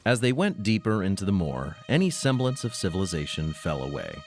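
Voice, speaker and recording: Low-voiced, animated male narrator. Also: a little fast, soft background music. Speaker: host and narrator. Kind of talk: scripted story narration and announcements.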